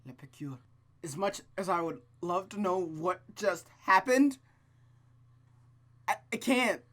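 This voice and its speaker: An informal, excitable host reading a visual novel aloud and chatting casually to viewers, at a medium pitch. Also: slow pace. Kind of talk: casual let's-play narration and chat. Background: music with plucked guitar.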